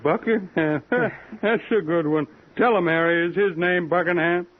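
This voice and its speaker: An actor playing a brisk, familiar theater man in a vintage radio drama, colloquial, blunt and teasing. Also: sits high, steady tone. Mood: wary, teasing. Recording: thin, phone-like old radio recording.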